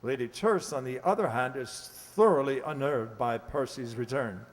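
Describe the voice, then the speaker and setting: A man's voice: mid-range voice, moderate pace, lightly acted. An actor playing a flustered, hesitant middle-aged English lord and father in a radio play. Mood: anxious, confiding. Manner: hesitant, fussy, stammering. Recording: echoey hall, long reverb.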